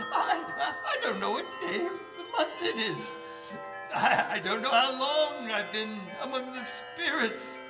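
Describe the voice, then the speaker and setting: A man playing an old miser in a theatrical staged audio drama, with a halting, stammering, melodramatic delivery. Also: extremely high pitch, animated, full character voice. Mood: giddy joy. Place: live stage in a library hall. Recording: echoey hall, music behind.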